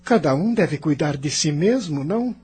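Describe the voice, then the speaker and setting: A man's voice: a little high, expressive, moderate pace, warm tone, breathy edge. A Brazilian man acting a bitter, self-justifying spirit in the afterlife in an audio drama, defensive and questioning. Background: music.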